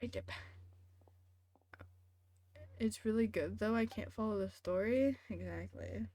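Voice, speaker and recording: A woman's voice: mid-range voice, a little slow, warm tone, no echo. A woman live-streaming a video game with casual commentary, playfully sarcastic as she talks back to chat and game.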